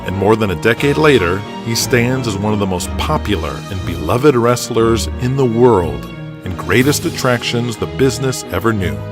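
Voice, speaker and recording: A man's voice: on the low side, lively intonation, normal speed, music in the background. A man reading scripted, dramatic narration for an audio drama.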